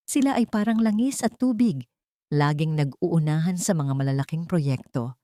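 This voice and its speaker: A woman reading a scripted romantic drama as a storyteller, with a measured, dramatic delivery. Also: low-pitched, warm tone, no echo, studio quality. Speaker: story narrator.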